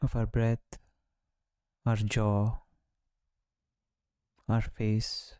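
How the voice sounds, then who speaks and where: A man guides a meditation in a mellow, relaxed voice with very little pitch movement. His delivery is slow and soothing, with generous pauses. The sound is smooth and calm throughout. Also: dry recording. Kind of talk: guided body-scan meditation.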